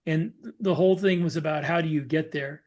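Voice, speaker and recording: A man co-hosting a podcast and talking shop as a novelist, explaining casually in a halting way and reworking his phrases. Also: a little high, darkish tone. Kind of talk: casual discussion of writing military science fiction.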